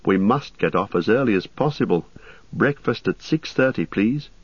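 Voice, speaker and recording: A man's voice: on the low side, expressive, normal speed, husky, no room sound, highs cut off, pitched above the performer's own voice. Man reading an anxious young traveller. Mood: earnest.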